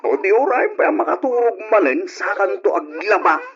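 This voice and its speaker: An actor playing a hot-tempered, lazy, abusive young husband in an old radio drama, gruff and exclamatory, on a lo-fi recording. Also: male voice, high voice, big pitch swings, normal speed, a bit bright, full character voice. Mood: sullen.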